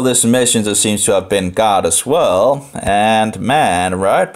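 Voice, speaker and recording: A Danish man with a Danish accent thinks aloud through informal Bible commentary, halting and rambling as he repeats phrases. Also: lowish voice. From Denmark. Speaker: live streamer studying the Bible.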